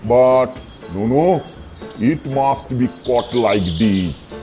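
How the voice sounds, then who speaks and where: A man's voice: mid-range voice, expressive, drawn-out words. A man voicing a greedy, easily outraged tiger uncle in a children's cartoon, theatrical and blustering. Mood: greedy, plotting. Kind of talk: children's cartoon character dialogue. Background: music behind the voice.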